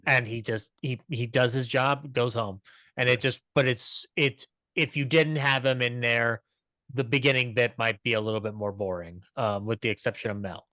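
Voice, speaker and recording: A man giving an enthusiastic off-the-cuff review as a podcast panelist, halting and repeating himself as he goes. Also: mid-range voice, fairly even intonation, bright, forceful tone, dry recording. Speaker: podcast panelist discussing classic comedy episodes. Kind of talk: casual discussion, off-the-cuff review.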